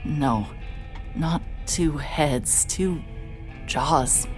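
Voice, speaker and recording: An actress playing a hard-hearted, sleep-deprived woman private investigator in a horror audio drama, speaking in a weary, halting way. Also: low-pitched. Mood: uneasy, weary. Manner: weary, halting, stammering under stress. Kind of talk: noir-like first-person audio drama narration. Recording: music behind the voice.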